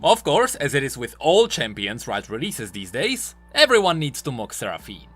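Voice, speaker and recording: A man's voice: medium pitch, huge pitch swings, normal speed, a bit bright, slightly rough. A man hosting a scripted game lore commentary, with an emphatic, swooping delivery. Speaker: host of a game lore video channel. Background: background music.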